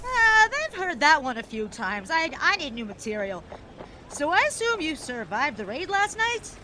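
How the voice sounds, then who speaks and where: An actress playing a spirited young high-society woman of the 1920s in an audio drama, effusive and theatrical with a wistful streak. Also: very animated, sharp tone, slight background noise, full character voice, rough recording. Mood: teasing, brisk. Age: young adult. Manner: effusive, theatrical, halting when emotional. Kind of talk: period audio drama acting.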